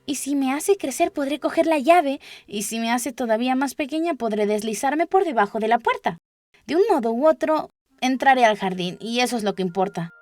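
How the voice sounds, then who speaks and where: A woman's voice: high voice, animated, brisk, darkish tone, no echo, voice pushed up. A woman voicing a curious little girl from a storybook, musing and scolding herself as she thinks aloud. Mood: determined. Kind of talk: storybook character voice.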